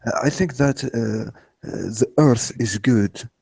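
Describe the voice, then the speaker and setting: Algerian guy calling in, halting simple english, kinda husky, dry sound. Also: medium pitch, a little mellow, dry recording. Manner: halting, simple non-native phrasing. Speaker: caller. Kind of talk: casual call-in chat.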